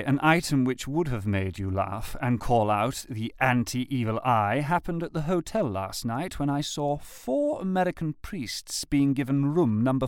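A lovesick, anguished man acted by a male reader pours out a love letter in heartfelt, literary phrases. His mid-pitched voice sounds dry and close, in the manner of a dramatic reading. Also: no echo. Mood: wistful, wry.

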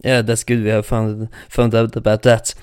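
Hesitant male interviewer, smooth voice. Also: on the low side, level tone, normal speed, a little mellow, no echo, clean studio sound. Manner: hesitant, many uhs, non-native phrasing. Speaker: interviewer, host. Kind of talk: casual interview questions.

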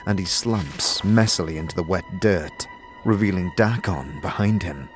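A man narrating a fantasy audio drama with measured, theatrical storytelling in a dramatic scripted style. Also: deep voice. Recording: prominent music behind the narration.

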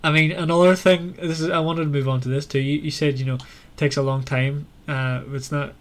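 A man's voice: on the high side, steady tone, fairly quick. A man hosting a casual book discussion in a conversational, questioning way. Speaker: podcast host.

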